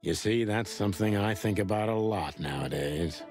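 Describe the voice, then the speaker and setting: An actor playing a polite, wry bird detective in a film-noir game, with a courteous way of talking. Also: male voice, on the low side, normal speed, over music, lightly acted. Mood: polite, musing.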